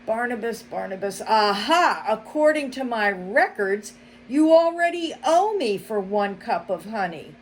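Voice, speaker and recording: A woman's voice: fairly high pitch, lively intonation, measured pace, a little hiss or hum, voice pushed up. A woman voicing a sly, greedy male fox shopkeeper who sneers and barks orders in a storybook reading. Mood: menacing.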